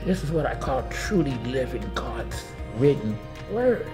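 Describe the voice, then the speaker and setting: A woman's voice: extremely low pitch, sing-song, unhurried. An older American woman giving emphatic, plain-spoken personal testimony as a church volunteer. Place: indoor church sanctuary, seated interview. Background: music.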